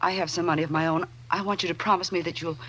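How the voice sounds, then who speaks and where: A woman's voice: lowish voice, fairly even intonation, very fast, fairly bright tone, close to an everyday voice. A woman playing a glamorous, evasive married woman in 1940s radio drama style, hesitant and coaxing as she speaks. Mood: anxious, pleading. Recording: vintage radio broadcast, faint hiss.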